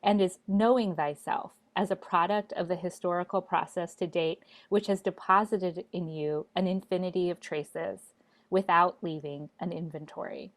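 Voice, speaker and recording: A woman with a fairly low voice co-hosts a relaxed discussion. She speaks in a measured way, with some fillers, and reads passages aloud. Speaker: co-host. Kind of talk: conversational discussion.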